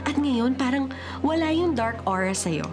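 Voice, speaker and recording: A woman's voice: sits high, lively intonation, moderate pace, over music. A Filipino woman playing a friendly, gossipy office co-worker in a radio drama, mixing English slang into breezy chat. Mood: chatty, curious. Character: chatty, friendly female office co-worker who senses spirits.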